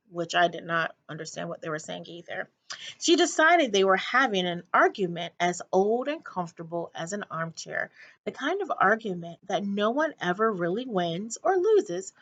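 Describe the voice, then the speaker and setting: A woman teacher reading a children's story aloud in an expressive narrating style. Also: mid-range voice, dull audio.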